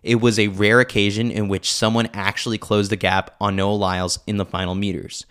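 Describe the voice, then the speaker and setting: A man's voice: fairly low pitch, level tone, brisk, a bit bright, clean tone. A man reading a scripted opinion newsletter aloud as a podcast host. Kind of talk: scripted narration, opinion commentary.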